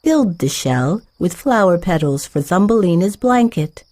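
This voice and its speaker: A woman storyteller reading a scripted children's tale with measured, careful phrasing for young listeners. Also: lowish voice, animated, darkish tone.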